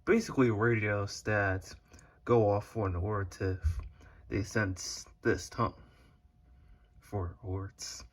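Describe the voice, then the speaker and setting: Slow, low-voiced man with husky tone. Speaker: hobbyist video creator. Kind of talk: casual unscripted showcase narration. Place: indoors at home beside a shelf of radios. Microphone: handheld phone behind the camera.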